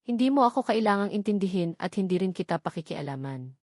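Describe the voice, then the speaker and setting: A woman's voice: mid-range voice, lively intonation, fast talker, a little mellow, no room sound, lightly acted. A woman narrator acts out a rich, commanding husband in a romantic audio drama. He speaks in curt, businesslike orders with controlled, emotionless delivery. The recording is dry and free of room sound. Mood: cold, controlled.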